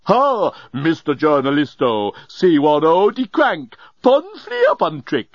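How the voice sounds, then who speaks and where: A man's voice: a little high, huge pitch swings, measured pace, no room sound, dull audio, voice pushed up, full character voice. A man voicing a grand old male alien genius in a comic audiobook, sentimental and declaiming, tipsy and slurred. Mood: drunken adoration. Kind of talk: comic science fiction audiobook.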